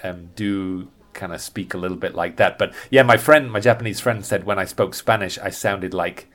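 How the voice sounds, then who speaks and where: A man's voice: deep voice, moderate pace, a bit bright. A man chatting casually as a birding guide on a podcast, thinking aloud and often rephrasing himself as he goes.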